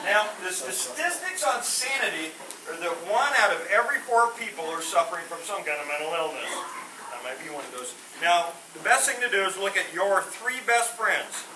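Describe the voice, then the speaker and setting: High-voiced comedian, echoey hall, far off. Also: male voice, animated, moderate pace. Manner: corny puns with a sheepish trailing delivery. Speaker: stand-up comedian. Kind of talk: stand-up one-liners. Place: veterans home hall. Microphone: no microphone, far from the recorder. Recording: roomy hall from a distance, noisy.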